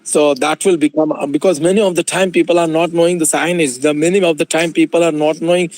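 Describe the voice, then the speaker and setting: A man's voice: a little high, steady tone, fast talker, darkish tone, dry recording. A man asking a long question at a conference, hesitant and full of fillers, heard over a video call. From India. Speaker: audience member asking a question.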